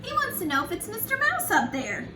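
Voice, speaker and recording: A woman reading a picture book to young children like a preschool teacher, in an animated, sing-song, encouraging way with simple questions to her listeners. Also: very high voice, fairly quick. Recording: echoey room, distant mic, noisy background.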